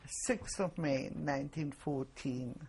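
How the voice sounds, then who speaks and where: An elderly woman, giving testimony slowly and haltingly, with many pauses. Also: low voice, vocal fry, mellow, breathy edge. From Poland. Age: 80s. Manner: slow, halting, pausing with fillers. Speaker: interviewee. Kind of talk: oral history testimony.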